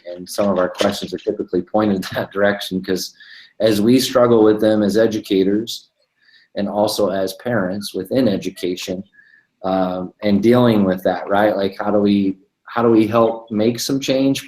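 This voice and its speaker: A man's voice: lowish voice, fairly even intonation, normal speed, darkish tone. A man in his thirties co-hosting a podcast, asking a long, rambling question with many fillers. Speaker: podcast co-host. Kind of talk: casual interview question. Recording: roomy echo.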